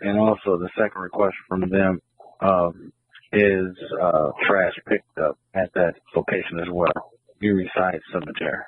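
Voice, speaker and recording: A man's voice: deep voice, little pitch movement, unhurried, slightly rough. A man working as a radio operator, relaying requests in dispatch traffic over a two-way radio. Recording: tinny, band-limited police scanner radio.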